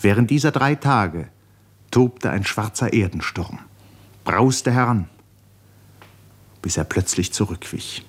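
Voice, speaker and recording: A man narrates a radio drama in a fairly low voice. His delivery is literary and measured, with dramatic weight and deliberate pauses between phrases. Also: expressive.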